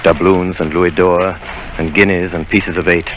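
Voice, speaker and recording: A deep, grave narrator. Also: male voice, little pitch movement, fairly bright tone, a little hiss or hum, rough recording. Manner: measured, grave. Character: grown man recalling his boyhood, grave storyteller. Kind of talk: 1930s radio drama narration.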